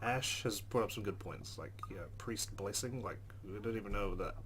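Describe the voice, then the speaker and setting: A man's voice: on the low side, moderate pace, a bit bright, a little hiss or hum. A man hosting a let's play, reading game narration aloud and adding casual commentary.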